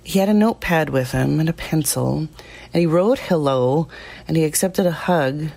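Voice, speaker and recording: An actress playing a plain-spoken, guilt-ridden small-town woman giving halting, confessional testimony in an audio drama. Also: sits low, vocal fry, warm tone. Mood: troubled, halting.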